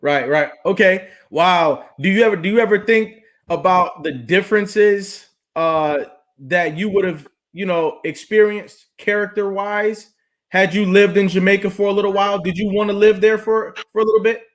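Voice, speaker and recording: A man's voice: sits high, fairly even intonation, moderate pace. A man hosting an interview show, greeting viewers and prompting his guest with short, encouraging affirmations. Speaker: talk show host.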